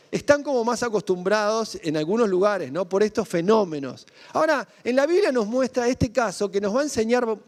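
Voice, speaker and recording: A man's voice: high voice, darkish tone, big-room sound. A man from Argentina with a Rioplatense accent, preaching a sermon in a conversational way, full of rhetorical questions and tag questions. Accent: Argentine Spanish (Rioplatense). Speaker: pastor.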